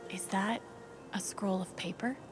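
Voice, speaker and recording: A woman's voice: mid-range voice, fairly even intonation, a little slow, faint music behind, close to an everyday voice. An actress playing a friendly, playful young woman adventurer in video game dialogue.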